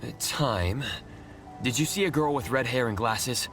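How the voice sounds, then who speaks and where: A man's voice: medium pitch, lively intonation, measured pace, fairly bright tone, soft background music. An actor playing an earnest young male adventurer in a video game, inquisitive and often thinking aloud.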